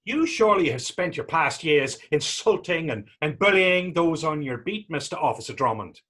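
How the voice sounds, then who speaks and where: A man's voice: fairly high pitch, lively intonation, normal speed, fairly bright tone. A man in a readers theater drama playing a defiant young Irish street vagrant, taunting and sarcastic, with an Irish accent. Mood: earnest, defiant. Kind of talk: readers theater stage acting.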